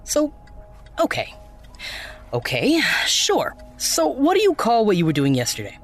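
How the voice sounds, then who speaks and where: A man's voice: very high voice, huge pitch swings, a little slow, faint music behind, full character voice. A man playing a terse, dry activist blogger in a naturalistic audio drama. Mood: irritated, challenging.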